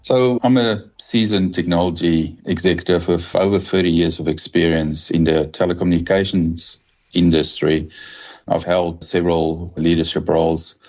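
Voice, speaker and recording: Deep, low South African man in his fifties, measured and steady, a little lo-fi. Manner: measured, with small restarts. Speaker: guest, telecom executive. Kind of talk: podcast interview.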